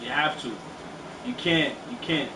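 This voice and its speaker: A man's voice: a little high, unhurried, background noise. Rambling New man hosting a stream. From New York. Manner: loose, rambling, shout-outs. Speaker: show host. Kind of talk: casual chat while playing a game on stream. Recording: roomy, distant mic, loud music behind.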